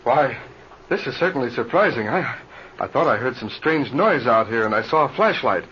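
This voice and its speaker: An actor playing a nervous, suspicious pilot with halting, fretful speech in an old-time radio adventure serial. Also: male voice, mid-range voice, animated, bright, forceful tone. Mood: startled, uneasy. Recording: dull, noisy old radio serial recording.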